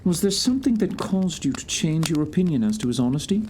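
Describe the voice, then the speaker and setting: A man acting a composed Victorian detective in an audio play, questioning in a measured, formal and blunt way. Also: fairly high pitch, big pitch swings, warm tone. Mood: probing, composed. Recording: faint background noise.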